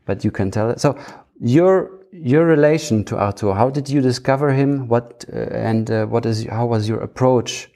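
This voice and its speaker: Gravelly, mellow male podcast host. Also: medium pitch. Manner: halting, searching for words. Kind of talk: casual conversational podcast talk.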